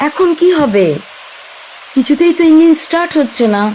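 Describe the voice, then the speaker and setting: A woman's voice: high-pitched, lively intonation, moderate pace, a little mellow, a little hiss or hum. A woman acting as a young woman stranded on an island with her fiancé, in an audio drama. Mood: worried. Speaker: Jina.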